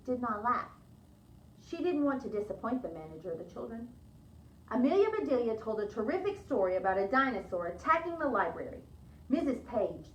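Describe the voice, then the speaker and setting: A woman's voice: on the high side, recorded from a distance. A woman reading a children's storybook aloud, with chatty asides to young listeners, in an echoey room with slightly dull sound.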